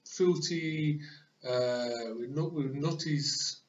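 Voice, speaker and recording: A man's voice: fairly high pitch, takes it slowly. A young man co-hosting a casual beer chat, rambling with fillers, recorded on a webcam in a small room. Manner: rambling, many fillers like "you know what I mean". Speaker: co-host. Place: small bedroom with posters, indoors. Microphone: webcam on desk. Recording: roomy, dull lo-fi webcam audio.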